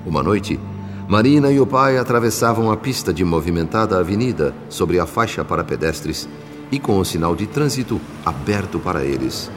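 A man with a Brazilian accent narrating a radio soap opera in a solemn, measured, dramatic scripted style. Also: sits low, animated. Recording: long reverb on voice. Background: music.

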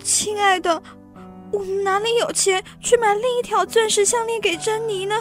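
An actress playing an anxious, flustered and plaintive young wife in a literary radio drama. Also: female voice, very high voice, steady tone, moderate pace, soft background music. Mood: despairing. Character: distraught young wife who lost a borrowed necklace.

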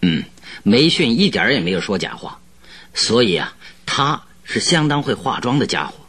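A man playing a confident, shrewd detective in a radio drama, speaking in a husky, assured, deliberate way. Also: medium pitch, very animated, a bit bright. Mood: pleased, assured. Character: confident, shrewd male detective planning a night investigation.

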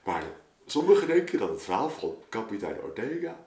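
A man talking casually and reflectively as a guest storyteller, halting and thinking aloud, recorded in an echoey room. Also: mid-range voice, sing-song, a little mellow. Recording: roomy, echoing space.

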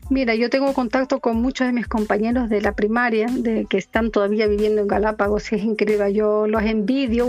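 A woman in her fifties with an Ecuadorian accent softened by Chilean touches, speaking a little high. She chats easily and warmly, repeating herself and laughing often. Faint music plays underneath. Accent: Ecuadorian Spanish with Chilean touches. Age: 50s. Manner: warm, chatty, laughs often, repeats words. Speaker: guest. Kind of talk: casual interview chat.

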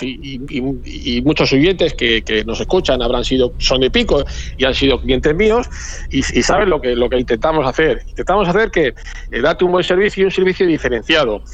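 A man in his forties from Madrid with a Castilian Spanish accent, a hotel owner giving a chatty, halting radio interview full of repeated words. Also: on the high side, a little hiss or hum. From Madrid, Spain. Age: 40s. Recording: dull lo-fi radio audio.

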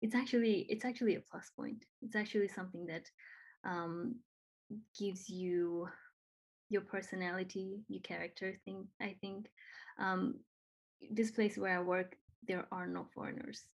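A woman's voice: medium pitch, fairly even intonation, measured pace, vocal fry, rough recording. A woman from Nepal who speaks English with a Nepali accent, talking earnestly and encouragingly with frequent ums and repeated phrases. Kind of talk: casual conversation.

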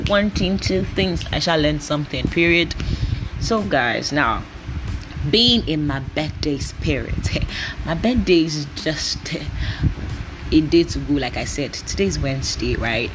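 A woman's voice: deep voice, unhurried, background noise. A Nigerian woman in her early twenties hosting a podcast, chatting casually and rambling, often breaking into laughs. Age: 20s. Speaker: podcast host. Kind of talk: casual solo podcast chat.

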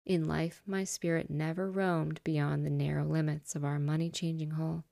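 A woman's voice: low-pitched, little pitch movement, warm tone, no room sound, studio quality. A woman putting on a mournful chained ghost. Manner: slow, dragging, breathy whispering delivery. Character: tormented male ghost in chains, mournful and grave.

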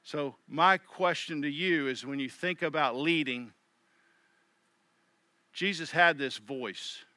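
A middle-aged pastor giving a sermon in a conversational way, often voicing the thoughts of others. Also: male voice, fairly high pitch, takes it slowly, bright, forceful tone, no room sound. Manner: conversational preaching with quoted inner voices.

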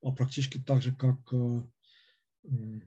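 A man giving an unscripted philosophy lecture, halting and full of hesitations and fillers, on a dull lo-fi recording. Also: mid-range voice, little pitch movement, warm tone, slightly rough.

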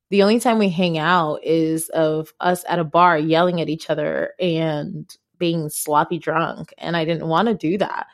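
Lower-pitched woman podcast host, conversational. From Ghana. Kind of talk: casual conversational storytelling.

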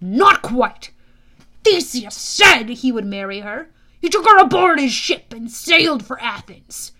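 A woman voicing a haughty, bitter, menacing male wine god in an audiobook, with a sneering, deliberate, pompous delivery. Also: high voice, big pitch swings, sharp tone, heavily acted. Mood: sardonic. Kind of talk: audiobook character voice.

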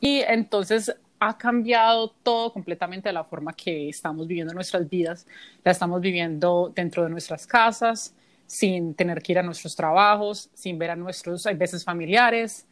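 A woman in her thirties speaks with a Colombian accent in a slightly low register. She is co-hosting a podcast, talking in an informal, chatty style, and the recording is dry and close with little room sound. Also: normal speed, dry recording. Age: 30s. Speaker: podcast co-host. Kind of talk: casual conversational podcast intro.

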